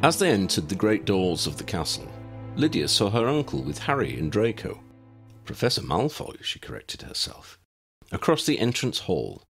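A man's voice: lowish voice, big pitch swings, moderate pace, gravelly, faint music behind. A man reading a scripted story as an audiobook narrator.

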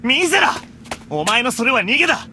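A man's voice: very high-pitched, sing-song, fairly quick, bright, forceful tone, slight background noise, full character voice. A man playing a stern, proud, hot-blooded young knight in a Japanese anime game, declaiming with urgency. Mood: stern exhortation.

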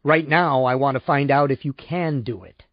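A man's voice: mid-range voice, moderate pace, dry recording, lo-fi sound, close to an everyday voice. A man voicing a stubborn, impatient male customer with a curt, sardonic manner in an audiobook.

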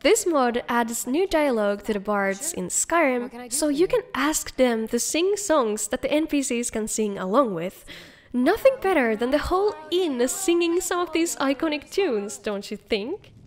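A woman's voice: sits high, expressive, unhurried. A woman hosting a game mod showcase, reading upbeat scripted narration as a voice-over. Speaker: video host, game mod reviewer.

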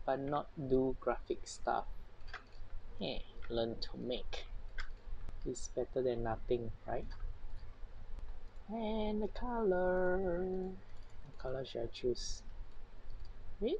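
A man's voice: mid-range voice, expressive, drawn-out words, pauses often, darkish tone, lo-fi sound, slight background noise. A young man in his twenties with a Singaporean accent, vlogging to his phone in a cluttered home workshop. He thinks aloud in a self-conscious way. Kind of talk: casual self-filmed vlog. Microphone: phone camera with clip mic.

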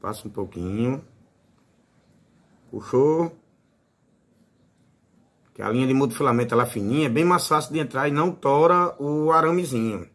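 A man's voice: medium pitch, normal speed, long pauses, a bit bright. A man with a Northeastern Brazilian accent giving a casual, informal hands-on tutorial as a fishing channel host. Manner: informal, fond of diminutives.

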